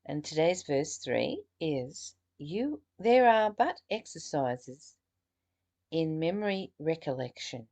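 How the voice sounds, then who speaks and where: A woman leads a spiritual study, reading aloud and adding her own reflections. She speaks very slowly, in a lowish voice with a creaky edge. Her delivery is earnest and a little halting, with phrases repeated as she goes. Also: big pitch swings, dark tone. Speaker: spiritual teacher leading a book study. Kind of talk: reading aloud with spoken commentary.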